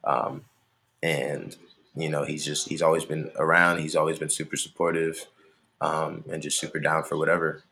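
A man's voice: rumbling low, little pitch movement, normal speed, vocal fry, pushed and bright. A young man from Maryland, a musician, talking casually in an interview in a laid-back, rambling way with lots of fillers. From Maryland, USA.

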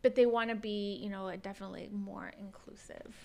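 A woman co-hosting a casual podcast, chatty and quick to laugh, with many fillers. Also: medium pitch, steady tone, gravelly, warm tone. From United States. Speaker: podcast co-host. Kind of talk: casual conversational chat.

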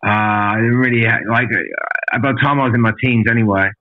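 A man speaks as a podcast guest, telling his story in a candid, matey style and swearing freely. His pitch sits around the middle for a man. The sound is narrow and phone-like, as if through a small radio. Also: brisk, soft background music. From an island outside Australia.

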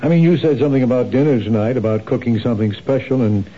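An actor playing a kind, patient husband who gently questions his wife, in a 1970s radio drama. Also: mid-range voice, fast, slight background noise, lightly acted. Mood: gentle. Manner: gentle, reassuring, probing. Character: kind, patient husband, city office worker. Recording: dull old radio broadcast.

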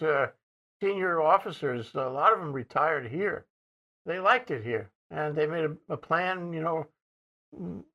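A man interviewing soldiers, asking questions in a halting way with frequent restarts and throat-clearing. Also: on the high side, lo-fi sound.

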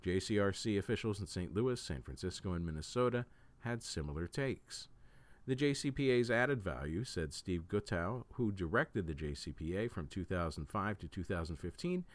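News reader: male, very low pitch, animated inflection, scripted article delivery. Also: normal speed.

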